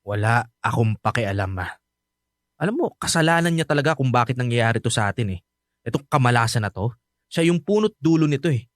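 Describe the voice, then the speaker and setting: A man's voice: mid-range voice, expressive, a little fast, no echo, studio quality, natural voice. An actor playing a bitter, resentful young adult son, protective of his mother, speaking with heated emphasis in a radio drama. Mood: bitter, angry.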